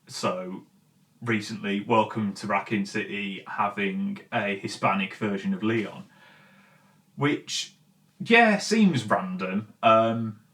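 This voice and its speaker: A man hosting a commentary show, talking in a casual, opinionated rant with emphatic, exasperated delivery and frequent swearing. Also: lowish voice. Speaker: host of a film and game commentary show. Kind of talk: opinionated video essay rant, casual and conversational.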